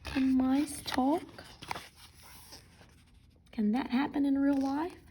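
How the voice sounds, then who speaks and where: A woman reading a picture book aloud as a teacher, expressively, pausing to question and explain to young listeners. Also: sits high, fairly even intonation, warm tone, slight background noise.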